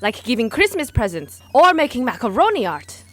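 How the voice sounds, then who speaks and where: A woman's voice: on the high side, very animated, normal speed. An actress playing a quirky, folksy female doctor on a spy team in a comedy audio drama, chatty and breezy.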